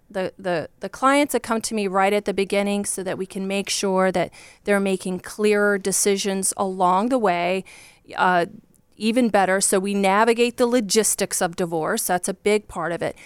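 A woman's voice: medium pitch, smooth, dry recording. Earnest Virginia-accented woman divorce coach. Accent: Virginia Southern. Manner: earnest, passionate, speaks in long run-on explanations. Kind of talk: podcast guest discussion.

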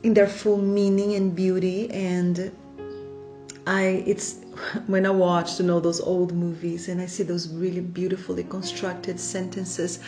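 A woman's voice: on the low side, little pitch movement, measured pace, mellow, soft background music. A woman from Brazil with a Brazilian Portuguese accent, hosting a faith podcast in an enthusiastic, chatty way, laughing often. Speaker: podcast host. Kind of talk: casual faith podcast monologue.

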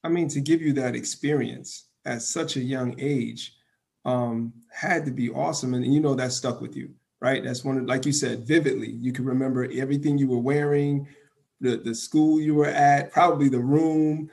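A man's voice: mid-range voice, fairly even intonation, moderate pace, creaky, darkish tone. Upbeat man hosting a talk show. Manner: upbeat, enthusiastic. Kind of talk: casual interview.

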